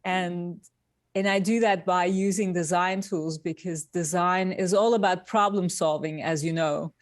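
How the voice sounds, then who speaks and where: A woman from Turkey, speaking as an interview guest in unhurried, deliberate phrases. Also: on the low side, steady tone, no echo, studio quality. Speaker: guest, industrial designer. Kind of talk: conversational interview answers.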